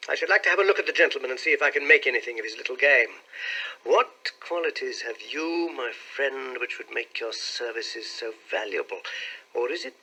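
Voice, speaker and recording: A man voicing a keen, amused gentleman detective with an urbane, measured, commanding manner in an audiobook. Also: mid-range voice, animated, husky, full character voice, rough recording.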